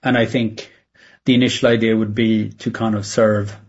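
Gravelly, even-toned Swedish man. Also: lowish voice, moderate pace, highs cut off. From Sweden. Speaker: tech entrepreneur interviewed as a guest. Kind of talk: conversational interview answers.

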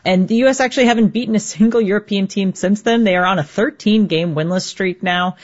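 A woman sports correspondent talks through the story in a relaxed, explanatory way. Her voice sits at a middle pitch. The line sounds dull and slightly lo-fi. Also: quick pace. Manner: conversational, explanatory. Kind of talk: radio news interview.

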